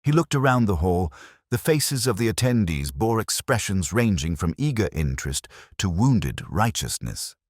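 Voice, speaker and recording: A man narrating a scripted mystery story in a measured, literary way. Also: on the low side, sing-song, no echo, clean studio sound.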